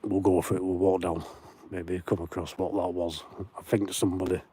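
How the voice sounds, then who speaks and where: A chatty man with a broad Lancashire accent talks into his phone while walking the moors at night. His voice sits low and mellow. He reads out viewer comments and greets people by name as he goes. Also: little pitch movement, moderate pace, a little hiss or hum. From Lancashire, England. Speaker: paranormal livestream host. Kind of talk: casual livestream chat while walking. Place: outdoors on moorland at night.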